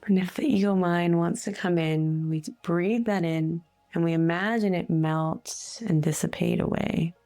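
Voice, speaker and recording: A woman leading a guided meditation in a soothing, unhurried, gently instructive way, with breathy phrase endings. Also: on the low side. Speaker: guided meditation host, energy healer. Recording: soft music bed behind voice. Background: faint music.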